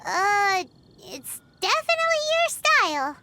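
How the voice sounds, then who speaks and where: A woman's voice: extremely high pitch, very animated, measured pace, background noise, exaggerated voice. A woman voicing a tiny, childlike, cheeky fairy sidekick in a cartoonish video game style. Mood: bemused. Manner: talks about self in third person, exclamatory. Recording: loud music under the voice.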